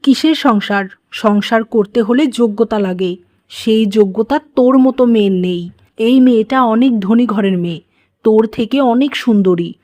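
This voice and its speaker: A woman's voice: a little high, a little mellow, dry recording, close to an everyday voice. Woman acting a scornful, greedy cartoon mother-in-law. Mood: scornful, threatening. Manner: scolding, contemptuous, pushy. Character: greedy, scornful middle-aged mother-in-law, scheming and domineering. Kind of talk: moral-story cartoon dubbing.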